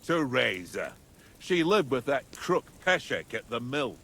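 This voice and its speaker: A gravelly, slow-spoken soldier. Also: male voice, mid-range voice, very animated, fairly bright tone, heavily acted. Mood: gruff. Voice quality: gravelly, deliberate.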